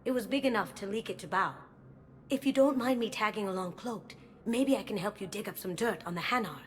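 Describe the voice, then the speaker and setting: A woman's voice: medium pitch, fairly quick, slight background noise, close to an everyday voice. A woman voicing a playful, sly female master thief in a video game, with a light, teasing delivery.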